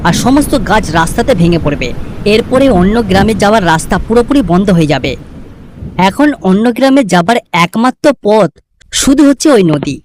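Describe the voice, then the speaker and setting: Female narrator, animated intonation, scripted fairy-tale storytelling, cartoon story. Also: mid-range voice, fairly quick, slight background noise, low-quality recording.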